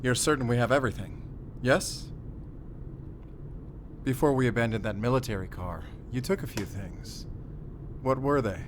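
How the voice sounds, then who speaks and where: Man acting a measured, battle-worn werewolf hunter. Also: mid-range voice, noisy background, lightly acted. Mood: grave, resolute. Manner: measured, deliberate, pausing between short phrases. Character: battle-worn male werewolf hunter, calm, wry, quietly tender. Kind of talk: cinematic audio roleplay acting.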